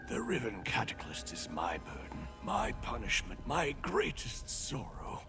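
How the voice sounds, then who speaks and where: A mad, blind old prisoner from a fantasy game, sorrowful and formal. His low, breathy, gravelly voice wavers and swings widely as he speaks in halting, archaic phrases over music. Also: exaggerated voice. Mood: haunted, sorrowful. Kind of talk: fantasy video game voice acting.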